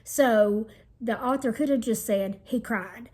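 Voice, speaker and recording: A woman's voice: on the high side, slow, a little mellow. A woman teacher reading a children's storybook aloud in an explanatory manner meant for young listeners.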